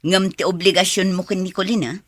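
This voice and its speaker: Babaeng gumaganap na mababa at paos na tiyahin. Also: female voice, on the low side, level tone, fairly quick, no room sound, studio quality, close to an everyday voice. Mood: wary. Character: grudging, questioning aunt, an older woman. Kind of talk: Ilocano radio drama acting.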